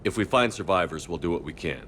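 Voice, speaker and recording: An actor voicing a steady, resolute, compassionate military commander in a sci-fi video game. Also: male voice, sits low, quick pace, a little hiss or hum. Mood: sombre resolve.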